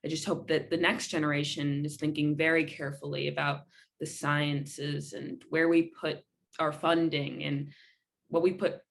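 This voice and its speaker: A woman playing a sober, concerned advocate in a conversational documentary theater monologue. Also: deep voice.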